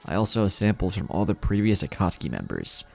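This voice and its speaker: A man's voice: deep voice, wildly swooping pitch, quick pace, gravelly, exaggerated voice. A man voicing a sly, servile plant-like clone henchman in an anime story, reporting deferentially, with music behind.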